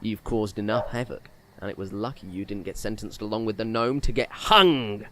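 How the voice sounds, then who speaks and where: An actor playing a curt, impatient detective in a comedic fantasy audio drama, matter-of-fact and dismissive. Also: male voice, fairly low pitch, moderate pace, sharp tone, a little hiss or hum. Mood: stern, businesslike. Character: brusque, impatient male lawman detective, dry and dismissive.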